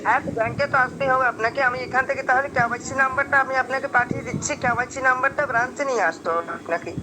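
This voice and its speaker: A man's voice: very high-pitched, very fast. A man on a phone call, talking as bank staff in an insistent, repetitive way. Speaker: caller posing as bank staff. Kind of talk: phone conversation. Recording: noisy recorded phone call.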